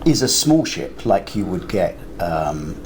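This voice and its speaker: An older man talks casually as a writer on a panel. His voice is fairly low. Noticeable ambient noise surrounds him as he tells his anecdotes. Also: sing-song, measured pace. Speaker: interview guest, crime writer and filmmaker.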